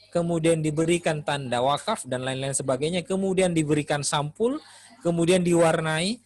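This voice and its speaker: A man giving an Islamic studies lecture in a relaxed, conversational way, often pausing with "eh" while he thinks. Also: mid-range voice. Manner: relaxed, conversational, frequent "eh" pauses. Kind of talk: classroom lecture.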